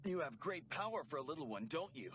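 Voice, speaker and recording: An actor playing a cocky young bandit in an old anime dub, with melodramatic delivery. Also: male voice, on the high side, a bit bright, a little hiss or hum.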